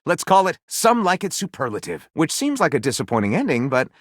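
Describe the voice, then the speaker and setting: An actor playing a theatrical, excitable storyteller in a game, narrating in a dramatic, tongue-in-cheek storybook style that moves between mock solemnity and gushing enthusiasm. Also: male voice, a little high, a little fast, no echo, studio quality. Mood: gushing, excited. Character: theatrical, excitable male storyteller, a datable book-loving narrator character.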